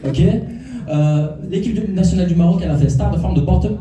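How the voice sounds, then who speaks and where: Man, stand-up comic, crowd work, playful teasing, dark mellow tone, roomy echo, distant mic. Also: a little high, a little fast. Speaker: stand-up comedian. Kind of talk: stand-up comedy routine. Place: comedy club stage before a live audience. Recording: echoey room, from far off.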